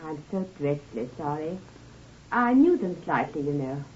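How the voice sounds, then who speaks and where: A woman's voice: deep voice, sing-song, normal speed, a little mellow, slightly rough, full character voice. An actress playing a genteel, guarded woman who manages a farm, speaking carefully and insistently in an old radio drama. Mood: pained, polite. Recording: muffled, band-limited vintage radio recording.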